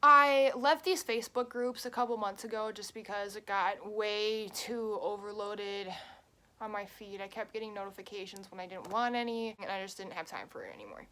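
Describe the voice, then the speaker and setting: A woman's voice: medium pitch, bright, forceful tone. A woman in her twenties telling a story in a casual, chatty vlog, with lots of fillers. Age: 20s. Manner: chatty, quick, many fillers. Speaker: vlogger. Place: at home.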